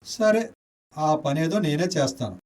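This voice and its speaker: Melancholy, noble gentleman with sweeping intonation. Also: on the high side, very animated, measured pace, distant mic, slight background noise. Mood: pious, gently urging. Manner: earnest, lyrical, dignified. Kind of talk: radio play acting in Telugu.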